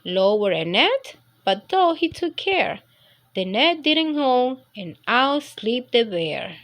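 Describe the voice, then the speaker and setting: A woman's voice: fairly high pitch, very animated, slow. A woman reading a picture book aloud as a storytime narrator for young children.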